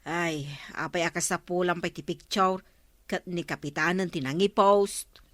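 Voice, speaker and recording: A woman playing a brisk, sociable and bossy village councilwoman in a comedy radio drama. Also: lowish voice, dry recording, lightly acted.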